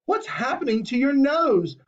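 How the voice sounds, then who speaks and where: Shrill frantic mother, as a man puts her on, muffled. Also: very animated, normal speed. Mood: shrieking. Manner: shrill and panicky. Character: shrieking frantic mother, middle-aged woman. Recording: muffled lo-fi recording.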